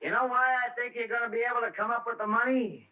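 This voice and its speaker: A man's voice: very high voice, fast talker, distant mic. A man acting in a radio drama as a loathsome, fat, needling business associate who insinuates and taunts. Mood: taunting. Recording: muffled radio recording, off-mic.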